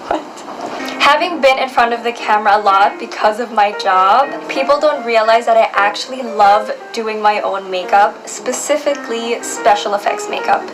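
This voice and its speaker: A woman's voice: on the high side, moderate pace, few pauses, low-quality recording. A woman from Saudi Arabia, a model and pageant contestant, introducing herself in a playful, self-deprecating manner. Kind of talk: light self-introduction to camera. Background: prominent music.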